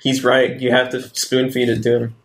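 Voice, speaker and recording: A man's voice: medium pitch, monotone, normal speed. A man playing a tabletop roleplaying game, speaking in character, with roomy echo on the recording.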